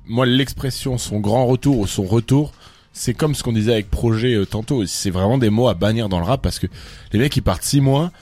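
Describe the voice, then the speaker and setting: A man co-hosting a casual rap debate, speaking in a measured way with frequent hesitations. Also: mid-range voice, a little mellow, no room sound. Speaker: co-host.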